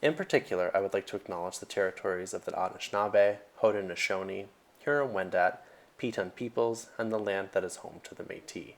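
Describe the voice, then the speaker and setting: A young man from Nova Scotia, a social work student giving a prepared presentation in an earnest, measured way with occasional fillers. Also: low-pitched, creaky. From Nova Scotia, Canada.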